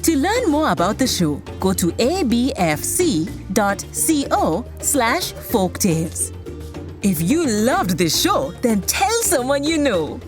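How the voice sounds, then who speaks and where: A woman's voice: fairly high pitch, big pitch swings, slow pace, over music. A woman hosting a children's folktale podcast, telling a scripted story theatrically and speaking straight to young listeners.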